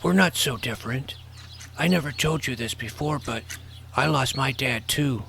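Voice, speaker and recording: A man's voice: mid-range voice, moderate pace, gravelly, pushed and bright, a little hiss or hum. A man playing an earnest, awkward boy hero in an audio drama, casual and boyish as he tells his adventure. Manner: casual, boyish, hesitant phrasing. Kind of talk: first-person scripted narration and acted dialogue.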